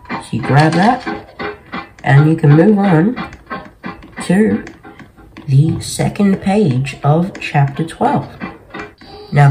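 A man's voice: high voice, rough recording. A young man giving casual game walkthrough commentary as the host of a gaming video. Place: small bedroom, indoors. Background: game music.

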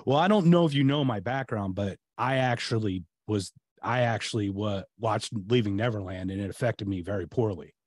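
A man's voice: lowish voice, moderate pace, hardly any pauses, darkish tone, no room sound. Middle-aged American man, podcast host, rambling casual talker, dry video-call audio. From United States. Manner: rambling, many restarts and fillers. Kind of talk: casual interview chat. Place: home room, video call.

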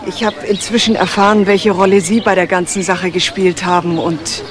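A woman's voice: lowish voice, flat delivery, normal speed, a crowd in the background, lightly acted. A woman acting a polite, reserved daughter of a suspect in a radio crime drama.

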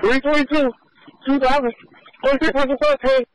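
A man's voice: extremely high pitch, fairly even intonation, moderate pace, dry recording, low-quality recording. A male police officer calling in over the radio during a pursuit, speaking urgently in short bursts. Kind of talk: urgent radio call-ins. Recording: police scanner radio transmission.

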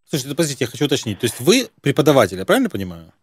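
A man's voice: medium pitch, wildly swooping pitch, very fast, slightly rough. A middle-aged man hosting an unscripted phone interview as a prankster, questioning in a probing, mock-earnest way. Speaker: prank-call host. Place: indoor room with gaming chair.

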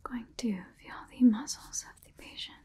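A woman in her twenties in an ASMR exam roleplay as a scientist, speaking softly and clinically, close to the microphone. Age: 20s. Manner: soft, clinical, deliberate phrasing. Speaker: ASMR performer playing a scientist.

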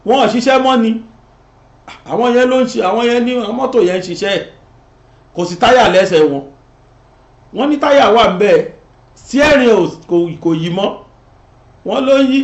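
Animated high-voiced Nigerian man. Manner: animated, switching between Yoruba and English, gesturing. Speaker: commentary show host. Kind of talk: political commentary monologue. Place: indoor studio set. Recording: roomy, dull lo-fi sound.

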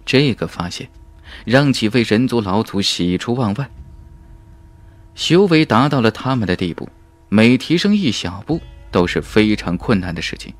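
Male narrator, lowish voice, very lively intonation, dramatic fantasy audiobook storytelling, music behind. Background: background music.

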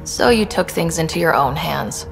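Direct, dry young space heroine. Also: female voice, sits low, animated, normal speed, gravelly. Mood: firm, probing. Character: determined, wry young female space explorer. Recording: reverberant rooms, music behind. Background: game soundtrack music.

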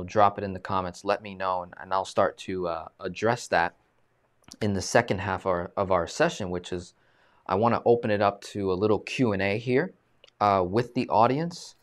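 A man in his thirties hosts a live video-call interview in a casual, animated way, leaning on fillers and restarts. His voice sits a little low. It is picked up by a webcam mic in a small room. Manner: animated, gestures a lot, many fillers. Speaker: host. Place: small room, plain green wall.

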